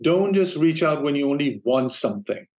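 A middle-aged man from Denmark, a guest in an interview, telling his career story in a relaxed way, laughing easily and repeating words as he thinks. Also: fairly high pitch, moderate pace. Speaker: guest, streaming operations manager.

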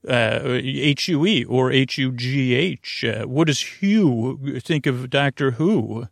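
A man hosting a sleep podcast in a meandering, halting bedtime monologue, full of restarts and whimsical, punning tangents, with a gravelly voice. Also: medium pitch, no echo, clean studio sound. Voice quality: creaky, gravelly. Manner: whimsical, punning, silly asides.

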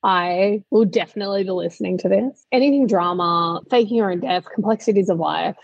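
A woman's voice: mid-range voice, a little fast, vocal fry, no room sound. An Australian woman co-hosting a podcast, talking casually and candidly with an Australian accent on a slightly dull recording. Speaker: podcast co-host.

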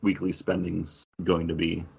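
A man's voice: low voice, monotone, unhurried, vocal fry, a little mellow, slightly rough, dull audio. A man talking casually and conversationally as a co-host of a comic book review podcast.

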